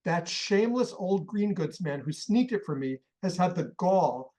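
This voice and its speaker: An actor playing an impatient older American millionaire who barks orders and scolds. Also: male voice, high-pitched. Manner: barking, scolding, rapid-fire orders. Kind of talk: radio play acting.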